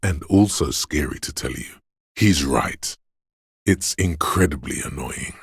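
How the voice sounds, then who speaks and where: A man voicing a menacing, paranoid inner voice of fear and aggression in a video game, gravelly and husky, ominous and deliberate. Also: rumbling low, animated, dry recording, studio quality, heavily acted. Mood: dark, menacing. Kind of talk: scripted game voice acting, second-person narration.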